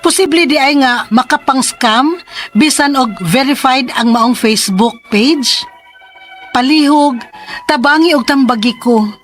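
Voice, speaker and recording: Very high voiced young cebuano job seeker, trusting and desperate, radio drama with music behind. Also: female voice, a little slow, a bit bright. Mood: pleading. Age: young adult. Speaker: radio drama actress and letter narrator.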